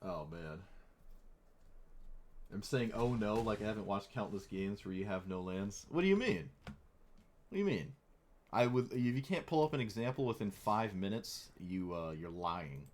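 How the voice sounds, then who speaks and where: Young male streamer with a lowish, slightly hoarse voice and wry, chatty game commentary. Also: expressive, moderate pace, darkish tone. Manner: chatty, wry, playfully mock-dramatic. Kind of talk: casual gameplay commentary.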